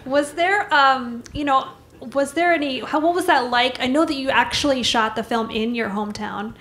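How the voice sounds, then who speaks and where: A woman's voice: high-pitched, normal speed, a bit bright. A woman moderating an onstage interview, asking long questions with many ums and restarts. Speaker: festival moderator. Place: music hall stage before an audience.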